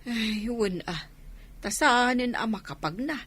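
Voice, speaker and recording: A woman's voice: a little high, animated, a little slow, a bit bright, breathy edge, heavily acted. A woman playing a middle-aged village mother with an Ilocano accent, nagging and worried, admonishing in radio drama style. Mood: concerned. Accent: Filipino, Ilocano.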